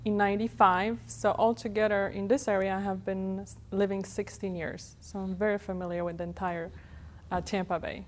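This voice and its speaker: A woman's voice: medium pitch, flat delivery, moderate pace. A woman in her thirties from Poland, with a Polish accent, answering interview questions as a real estate professional. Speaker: guest, real estate broker. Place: TV studio.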